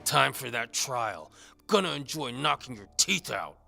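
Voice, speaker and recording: A man's voice: medium pitch, sing-song, unhurried, vocal fry, pushed and bright, faint music behind, voice pushed up, full character voice. A man voicing a loutish, boastful mercenary knight in an audio drama, with a thick put-on accent and a resonant voice muffled inside a helmet. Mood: taunting, menacing. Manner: thuggish, taunting, pompous. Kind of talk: audio drama character acting.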